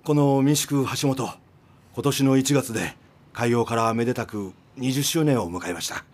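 A man's voice: mid-range voice, normal speed, lightly acted. An actor playing a formal, long-winded male innkeeper in a radio drama, speaking stiffly and politely. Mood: formal, wistful. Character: long-winded, formal inn owner making a farewell speech.

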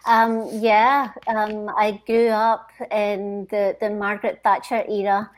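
A middle-aged Scottish woman speaks as a podcast guest, reflecting on her past in a measured, conversational way. She pauses often, starts sentences over, and lands each point with quiet assurance. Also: medium pitch, level tone, low-quality recording. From Scotland. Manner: thoughtful, frequent "um" pauses and repeated starts. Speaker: podcast guest, HR leadership consultant. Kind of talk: conversational interview.

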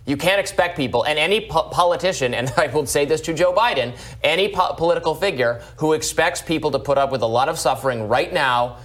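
A man's voice: on the high side, a little fast, hardly any pauses, fairly bright tone. A man hosting a political talk show, giving unscripted commentary in an explanatory, hedging way and often checking in with "right?".

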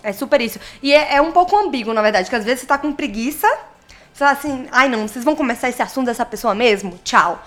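High-voiced playful Brazilian woman. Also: animated, fast talker, faint music behind. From Maranhão, Brazil. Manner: playful, mock-expert explaining. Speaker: podcast guest. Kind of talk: casual chat.

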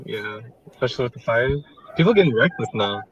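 A man's voice: mid-range voice, lively intonation, fairly quick, fairly bright tone, highs cut off, a little hiss or hum. Laid back teen guy co-hosting, spanish is his first language, keeps asking follow-ups. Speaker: co-host. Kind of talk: casual chat.

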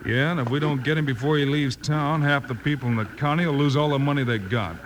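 An actor playing a steady, decisive cowboy lawman with an American accent and a commanding, matter-of-fact manner in a radio western. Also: a little high, fast talker, natural voice. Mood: focused. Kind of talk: 1950s radio western acting. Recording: noisy old-time radio recording.

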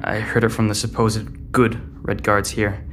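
A man's voice: fairly low pitch, level tone, unhurried, natural voice. A man playing a troubled, short-tempered but protective teenage boy in a sci-fi audio drama, guarded and terse. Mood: guarded, explaining.

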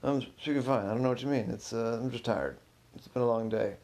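A creaky, mumbling museum technician in a spoken story, acted by a man, worn out and guilty. He stammers slangy excuses and gets defensive. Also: medium pitch, moderate pace, a little mellow, lightly acted. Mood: evasive, defensive.

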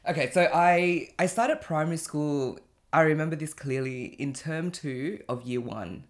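A guest from Cambodia, telling stories in relaxed casual chat with laughter and restarts. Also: mid-range voice, a little slow.

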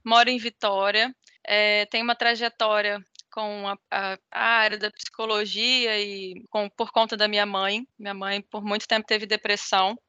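Soft-spoken woman with a flat bright voice. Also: medium pitch, moderate pace, clean tone, dry recording. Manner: soft-spoken, hesitant, candid. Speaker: guest, doctor. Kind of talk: casual chat. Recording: dry, slightly muffled sound.

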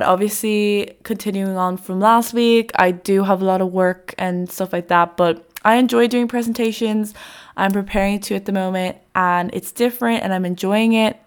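Young woman, podcast host, congested, nasal, chatty, rambling. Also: mid-range voice. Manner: chatty, rambling with many fillers. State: congested, a bit sick. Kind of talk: casual solo podcast chat.

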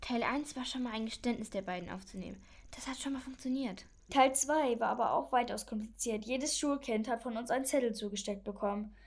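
A female performer plays a clever schoolgirl sleuth in a kids' radio play. Her voice is on the high side, and she is earnest and conspiratorial, like a leader sharing a plan. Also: fairly quick. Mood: worried. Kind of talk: children's audio drama acting.

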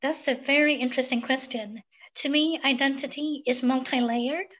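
A woman from Sweden, a podcast guest, talking thoughtfully and pausing with many fillers. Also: high-pitched, steady tone, fairly bright tone, dull audio. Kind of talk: reflective interview talk.

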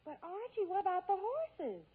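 A woman's voice: extremely high pitch, big pitch swings, normal speed. An actress playing an eager, innocent teenage girl in a 1940s radio comedy. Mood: eager, anxious. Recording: muffled, tinny 1940s radio recording.

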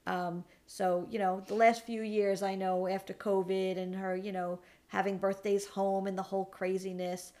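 A middle-aged woman, a mother, chatting as a podcast guest with a raspy voice after losing it, reminiscing fondly. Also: mid-range voice, little pitch movement, moderate pace, hardly any pauses, dark tone. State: raspy, recovering lost voice. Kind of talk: casual chat.

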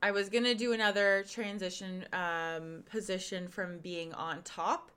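Woman host, bright voice, a bit worn, chatty and frank, lots of um and like. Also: medium pitch, steady tone, a little slow, clean tone. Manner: chatty, frank, many fillers. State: losing her voice. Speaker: podcast host and pleasure coach. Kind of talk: casual podcast monologue.

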